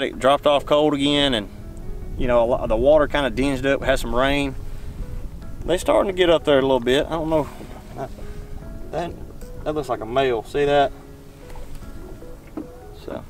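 A young man with a drawl, casually rambling about bass fishing from his boat on a lake. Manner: drawling, rambling, talks to the fish. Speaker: fishing channel host. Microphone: camera mounted on the boat.

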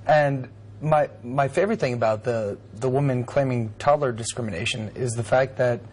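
A thirty-year-old man on a talk-show panel, speaking through a lapel mic in a studio. He jokes freely and often stumbles and restarts his sentences. His pitch sits in an ordinary middle range. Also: normal speed, slight background noise. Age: 30s. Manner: joking, stumbles over words. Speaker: panel guest, journalist. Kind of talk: casual panel banter.

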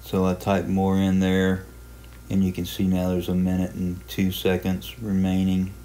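A man giving a casual, unhurried tutorial narration, explaining a hobby software program step by step in plain terms. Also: sits low, completely flat delivery. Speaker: amateur radio hobbyist presenting a software walkthrough.

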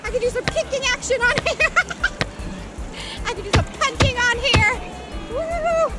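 A woman in her thirties vlogging excitedly on an outdoor beach, squealing, giggling and whooping often. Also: extremely high pitch, takes it slowly. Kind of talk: excited travel vlog. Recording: loud background music.

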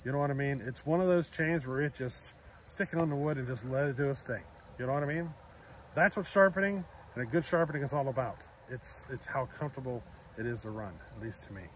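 A man in his forties talks casually to a phone camera held close, out of doors, his voice at an ordinary middle pitch. He explains things in a chatty way and keeps checking in with the listener. Faint insects chirp in the background. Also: fast talker, noisy background. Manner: chatty, keeps asking "you know what I mean?". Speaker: chainsaw channel host. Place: outdoors by trees, at a picnic table. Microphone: phone camera close up at arm's length.

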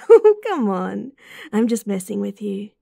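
A woman with an Australian accent playing a caring, teasing young student best friend in a roleplay audio, soft and breathy. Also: on the high side, dry recording, clean studio sound, heavily acted. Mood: playful. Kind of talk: roleplay audio acting, intimate and softly spoken.

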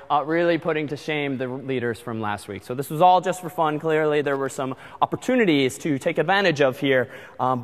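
A man lecturing in a large hall, giving chatty announcements with frequent ums and uhs. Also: mid-range voice. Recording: long hall reverb.

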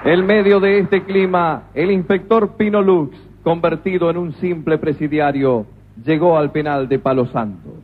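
A man's voice: fairly high pitch, a little slow. A man narrating a comic radio play with a dramatic storyteller delivery. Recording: tinny lo-fi radio recording.

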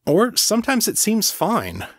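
A man narrating first-person fiction from a script in a wry, measured storytelling manner. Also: high-pitched, huge pitch swings, fairly bright tone, no echo, clean studio sound. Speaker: audiobook narrator.

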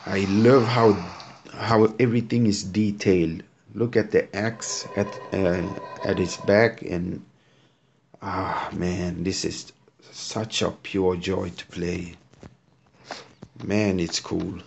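A man from Sweden with a Swedish accent, casually commenting on a mobile game he is playing in halting, enthusiastic English full of fillers. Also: deep voice, steady tone, vocal fry. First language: Swedish or Hungarian. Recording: loud background noise.

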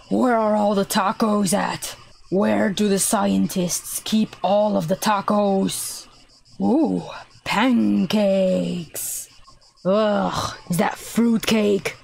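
A woman's voice: mid-range voice, vocal fry, darkish tone, breathy edge, full character voice. A woman voicing a laid-back, affectionate young male villain boyfriend in a game roleplay. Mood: grumbling. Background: music.

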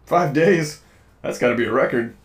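A man's voice: medium pitch, expressive, normal speed, soft background music, close to an everyday voice. A man playing a curious, nervy young drifter in a sci-fi audio drama, talking casually.

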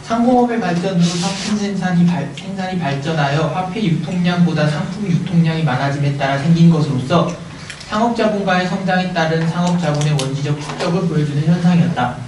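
A man reading a passage aloud in a classroom at a steady pace, stumbling over a word now and then. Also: fairly high pitch, a little fast. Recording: very echoey room, far off, with hiss.

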